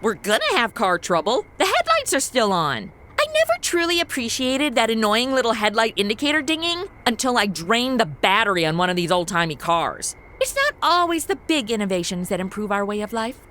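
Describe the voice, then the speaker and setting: Bright, sarcastic modern young woman. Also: a little high, very animated, a little fast, few pauses, slight background noise. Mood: exasperated. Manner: flippant, wisecracking, exasperated. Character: breezy, sarcastic modern young woman in vintage radio world. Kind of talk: comedy audio drama.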